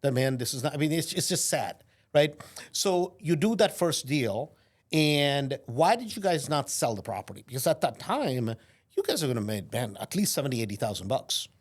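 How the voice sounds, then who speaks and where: A man's voice: medium pitch, normal speed. Blunt, talkative male podcast host. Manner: blunt, animated, tags questions with "right?". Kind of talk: conversational interview.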